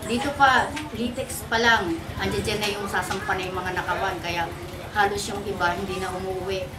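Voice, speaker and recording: A Filipino woman giving informal testimony as a resident, complaining in a halting, repetitive way. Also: medium pitch, fairly even intonation. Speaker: relocation site resident being interviewed. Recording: echoey, distant mic, very noisy surroundings. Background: loud ambient noise.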